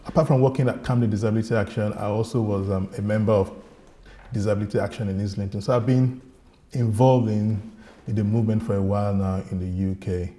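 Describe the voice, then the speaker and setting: Low, mellow man reflecting. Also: fairly even intonation. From Nigeria. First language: Yoruba. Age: middle-aged. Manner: earnest, reflective, pauses and restarts. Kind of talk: personal talk.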